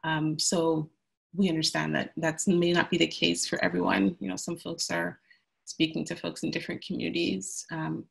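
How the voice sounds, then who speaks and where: A woman's voice: low voice, normal speed, gravelly. A young woman presenting a webinar on a video call, chatty and full of "um"s. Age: young adult. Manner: chatty, many "um"s, speaks of youth speaking to youth. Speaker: webinar presenter, communications manager. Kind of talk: presenting slides, then leading discussion. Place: indoor room at home. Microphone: earbuds on video call.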